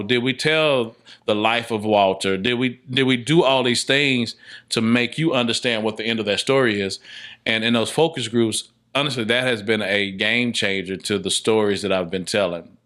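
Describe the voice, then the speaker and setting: Bright, forceful man explaining his craft. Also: lowish voice, moderate pace. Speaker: podcast guest, filmmaker and playwright. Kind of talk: casual explanatory interview talk.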